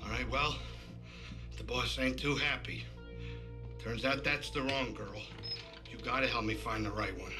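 An actor playing a gruff, foul-mouthed, weary gangster underling in a video game, with slangy, casual speech. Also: male voice, medium pitch, measured pace, pauses often, music in the background, natural voice. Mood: sullen. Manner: slangy, double negatives, casual. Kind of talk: cinematic video game acting.

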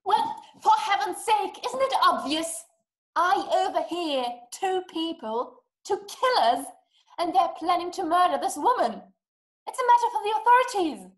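A woman's voice: extremely high pitch, very animated, normal speed, poor audio. A young woman playing a distraught, imperious invalid wife in a play, speaking with dramatic, emphatic, formal diction. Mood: impatient. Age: young adult. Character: distraught, imperious, self-pitying invalid wife, desperate and impatient. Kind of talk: theatrical stage-play acting over video call. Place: small dim room at home. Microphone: webcam video call.

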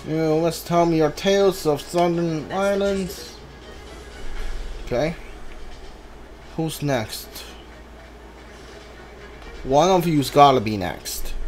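Man, game streamer, slightly high voice, unhurried, halting, casual commentary, faint music behind. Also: expressive, a little mellow. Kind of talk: casual let's play commentary, sometimes reading game text aloud. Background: video game music.

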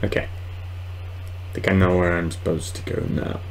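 A man in his twenties giving casual, rambling let's play commentary at home, halting at times. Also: deep voice, flat delivery, a little hiss or hum. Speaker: gaming video host. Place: small room at home, indoors.

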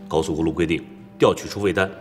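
An actor playing a dogged, indignant middle-aged defence lawyer in a naturalistic film drama. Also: male voice, low-pitched, steady tone, fast, big-room sound, soft background music, close to an everyday voice. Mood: grave, insistent.

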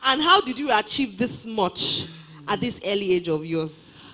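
A woman's voice: medium pitch, big pitch swings, measured pace, pushed and bright. A woman interviewer on a stage, politely reading audience questions aloud and thanking her guest, on a handheld mic in a large auditorium.

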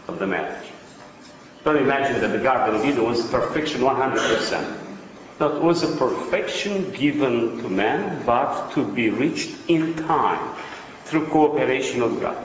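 A man's voice: mid-range voice, a little hiss or hum. A man with a Romanian accent delivers an academic lecture, often posing a question and then answering it himself. He is picked up from a distance in a lecture room. The sound rings with long reverb and comes across muffled and dull. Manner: explanatory, asks and answers own questions. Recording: distant, echoey, dull lecture hall recording.

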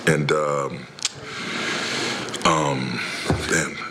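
A middle-aged man, a head coach, answering press questions after a game in a halting way with many restarts, speaking into a table microphone in a press room. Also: a bass voice, steady tone, heavy background noise. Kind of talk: postgame press conference answers. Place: press room in front of a logo backdrop.

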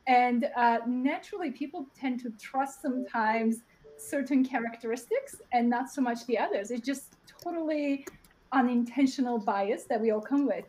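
A young woman with a high voice, answering as a panelist on a video call, earnest and thinking aloud, often tagging her points with "right?". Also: moderate pace, a little mellow, a little hiss or hum, low-quality recording. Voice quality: high-pitched voice. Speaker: panelist, platform co-founder. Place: home room. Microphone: webcam on video call.

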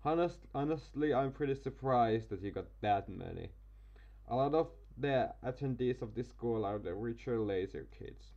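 A man's voice: medium pitch, lively intonation, moderate pace, warm tone, muffled recording. Casual man reading a game aloud. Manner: casual, stumbling over words. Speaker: gaming youtuber. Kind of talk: reading a visual novel aloud. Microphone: desk mic, webcam.

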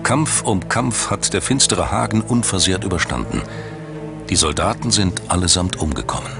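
A man narrates a scripted documentary in a low, deep voice. His delivery is measured and dramatic, like formal storytelling, with music running clearly underneath. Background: background music.

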